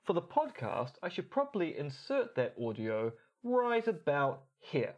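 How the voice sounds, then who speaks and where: A man's voice: a little high, huge pitch swings, unhurried. A man co-hosting a podcast, explaining in an articulate, conversational way with wry asides and rhetorical questions. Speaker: podcast co-host.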